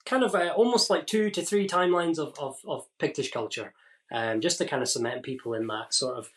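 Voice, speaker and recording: Scottish man, podcast guest, talks through history, halting with fillers, dry sound. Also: fairly high pitch, fairly bright tone. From northeast Scotland. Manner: explains at length with many fillers and restarts. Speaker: guest expert on Pictish history. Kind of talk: casual podcast interview.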